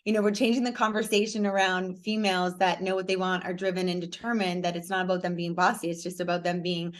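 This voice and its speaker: A woman with a raspy, hoarse voice, telling her story openly and with energy as a guest in a conversation. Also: fairly low pitch, fast talker. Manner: energetic, candid, lively storytelling. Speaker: podcast guest, speaker and coach. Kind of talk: interview conversation.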